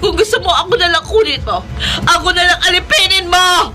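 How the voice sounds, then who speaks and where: A remorseful wife with an extremely high, emotional voice. Her Filipino accent carries full radio melodrama. Music plays clearly behind her. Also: brisk. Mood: tearful, desperate. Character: remorseful, desperate wife pleading for her husband. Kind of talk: Filipino horror radio drama acting.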